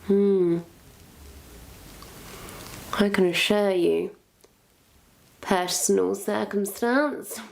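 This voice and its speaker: A woman's voice: mid-range voice, very slow, gravelly, pauses often, a little mellow, low-quality recording. A woman playing a wicked, theatrical witch in a suspenseful roleplay, pompous and florid, savoring each phrase as she toys with a captive. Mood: sly, gossiping. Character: wicked, theatrical female witch, smugly toying with her captive. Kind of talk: suspenseful point-of-view roleplay monologue.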